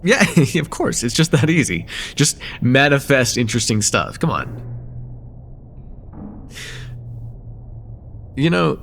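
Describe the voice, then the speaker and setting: A man's voice: mid-range voice, very animated, moderate pace, vocal fry, fairly bright tone, a little hiss or hum. A young man playing a friendly, slightly nervous college student in a casual, stammering slice-of-life ASMR roleplay chat. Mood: playful. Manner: hesitant, stammering, self-deprecating, casual.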